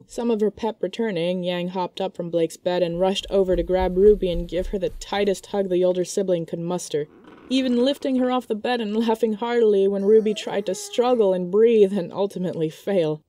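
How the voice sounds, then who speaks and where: A woman's voice: mid-range voice, steady tone, brisk, warm tone. A woman reading scripted narration of a fan fiction story as its narrator.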